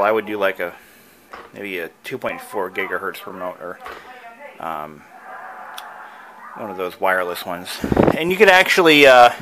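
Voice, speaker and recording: Bright-voiced casual male hobbyist presenter. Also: mid-range voice, low-quality recording. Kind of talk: casual tutorial vlog.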